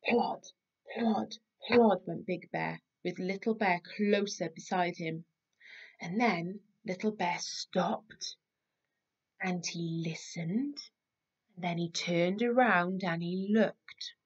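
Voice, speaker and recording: A woman reading a children's story aloud in an expressive, deliberate way, with dramatic pauses. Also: lowish voice, breathy edge, lo-fi sound.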